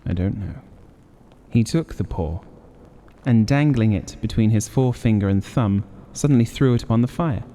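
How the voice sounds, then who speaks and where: A man's voice: medium pitch, moderate pace, dark tone. A man narrating a gothic story as a scripted audiobook. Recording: long reverberant hall-like echo.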